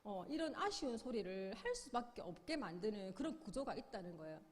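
A woman's voice: mid-range voice, big pitch swings, fairly quick, long reverb tail. A middle-aged woman giving a sales seminar lecture, upbeat, explaining with questions and acted-out quotes. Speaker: business seminar speaker.

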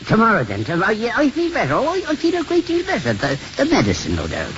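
Old priest in a 50s radio play, fretful n kindly, voice swings up and down, muffled noisy recording. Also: male voice, high voice, wildly swooping pitch, full character voice. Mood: grateful, hopeful. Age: older. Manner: halting, repeats phrases. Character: elderly Irish priest, sentimental, fretful yet kindly. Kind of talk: 1950s radio drama acting.

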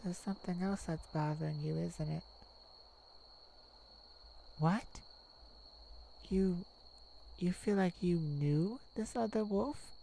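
A woman playing a tenderhearted warrior mage in a soft ASMR romantic roleplay, speaking in a hushed, soothing, halting way. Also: on the low side, vocal fry, slight background noise, low-quality recording. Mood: tender, worried. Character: tenderhearted young warrior mage comforting a werewolf lover. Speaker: audio roleplay performer.

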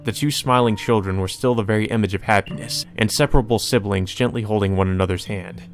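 Man, lowish voice, let's play narration, casual and colloquial, game music underneath. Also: quick pace. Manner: informal, colloquial. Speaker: let's player reading and commenting. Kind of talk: visual novel read-aloud with casual commentary.

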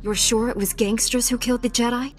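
A woman's voice: a little high, steady tone, brisk, soft background music, natural voice. An actress playing a confident, composed female knight in a sci-fi video game, resolute and assured. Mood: calm, resolute.

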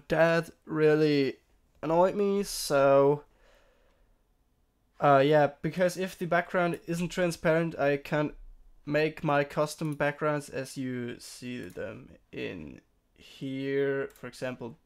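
Hoarse young man, casual tutorial. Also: fairly high pitch. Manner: rambling, many fillers and self-corrections. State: sick, throat-clearing voice. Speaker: tutorial streamer. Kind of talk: casual screen-recorded software tutorial. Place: home room.

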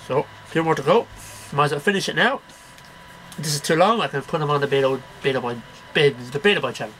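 High, bright-voiced man reciting poetry. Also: fast talker, a little hiss or hum, rough recording. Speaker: amateur poetry reader. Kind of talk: reading poems aloud to camera. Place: small cluttered bedroom. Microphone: webcam at about arm's length.

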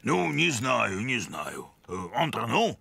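A man's voice: medium pitch, huge pitch swings, takes it slowly, creaky, bright, forceful tone, a little hiss or hum, exaggerated voice. A man voicing a grumpy, sceptical, burly bear in a cartoon, grumbling. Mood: grumpy, doubtful. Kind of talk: cartoon voice acting.

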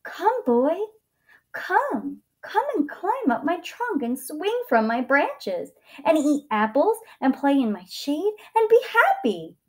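Woman voicing a high, loving, generous storybook tree. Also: big pitch swings, slow pace, full character voice. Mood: joyful, inviting. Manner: coaxing, giving. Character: loving, generous female tree, eager to please. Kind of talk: children's storybook voice.

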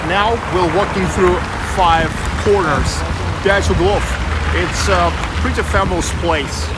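High-voiced Russian man on a loud street. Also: fairly even intonation, takes it slowly. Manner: loose, searching for English words, corrects himself. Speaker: walking tour guide. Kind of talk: live-streamed walking tour commentary. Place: busy city street outdoors. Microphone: handheld selfie camera mic. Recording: outdoor street with loud traffic noise.

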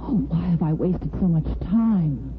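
An actress playing a caring, emotional hospital nursing superintendent in a 1950s radio soap opera, earnest and hesitant. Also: female voice, lowish voice, animated, unhurried, music in the background. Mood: urgent, self-reproachful. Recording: tinny, noisy old radio broadcast.

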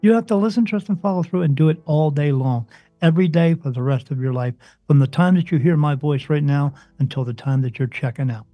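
A man from New Jersey, a spirit medium interviewed as a guest, talking emphatically and insistently, his voice soothing and deep. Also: a little fast, dry recording, highs cut off, soft background music. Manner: emphatic, insistent, swings up in pitch when stressing points. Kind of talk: interview, impassioned preaching monologue.

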